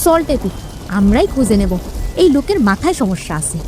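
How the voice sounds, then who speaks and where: A woman voicing a brisk, scolding village mother in a folk-tale cartoon, bossy and calling out. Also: on the high side, sing-song, warm tone. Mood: scolding, impatient. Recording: noisy rainy ambience behind voice.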